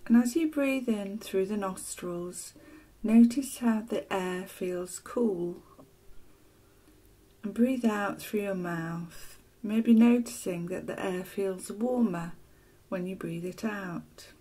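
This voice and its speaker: Female meditation teacher, gentle and breathy-soft, very unhurried, deliberate phrasing for guided relaxation. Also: mid-range voice. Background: ocean waves sound loop.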